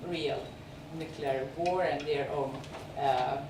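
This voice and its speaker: A woman with a Serbian accent hosting a film festival, speaking unscripted and haltingly as she searches for words. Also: low voice, fairly even intonation. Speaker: film festival host introducing films and guests. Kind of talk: unscripted spoken introduction and interview. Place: echoey screening venue. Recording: echoey, noisy room, far from mic.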